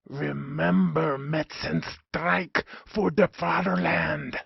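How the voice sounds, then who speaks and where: Narrator doing an excitable pompous German professor, dull sound. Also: mid-range voice, slow, gravelly, slightly rough, no room sound, heavily acted. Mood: hushed, urgent. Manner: dramatic, hushed exclaiming. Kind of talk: comic audiobook character acting.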